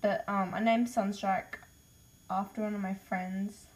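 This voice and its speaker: Slow, hesitant Australian woman. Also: medium pitch, little pitch movement. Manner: hesitant, many ums, apologetic. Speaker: podcaster listing name ideas. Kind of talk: casual solo voice message.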